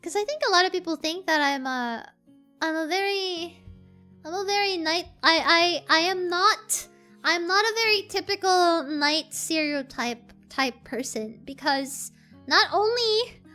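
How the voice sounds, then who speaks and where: A woman streamer with a soft voice, chatting casually and reading viewer messages, giggling often and tripping over repeated words. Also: very high-pitched, animated, unhurried, faint music behind.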